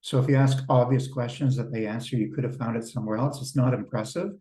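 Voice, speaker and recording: A man coaching small business owners on a video call, talking in a relaxed, conversational way and often repeating words as he starts a thought. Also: mid-range voice, fast. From Canada. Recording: roomy sound from a distance.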